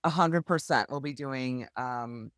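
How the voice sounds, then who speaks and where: A woman's voice: extremely low pitch, a little slow, no room sound. Woman on a podcast, earnest and heartfelt, dry close sound. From United States. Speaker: podcast guest, hypnosis healer. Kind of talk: candid conversational storytelling.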